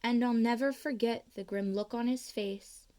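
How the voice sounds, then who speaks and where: A woman reading a children's storybook aloud in an expressive style. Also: on the high side, moderate pace. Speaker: storybook reader.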